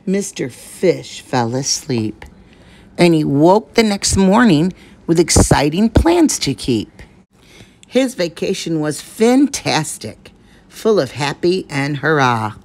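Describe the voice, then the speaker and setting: A woman reading a children's picture book aloud, giving the rhymes a measured, expressive delivery. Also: low voice. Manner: measured, expressive rhyming narration. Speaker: storyteller.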